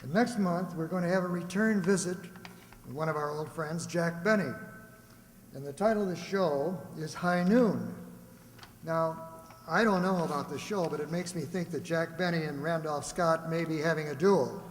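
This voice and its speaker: A man's voice: a little high, normal speed. Folksy male announcer in an echoey hall. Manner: rambling, folksy asides. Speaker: announcer of a community radio-play group. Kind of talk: live stage announcing, folksy and conversational. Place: reverberant hall before a live audience. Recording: echoey hall, clearly noisy background.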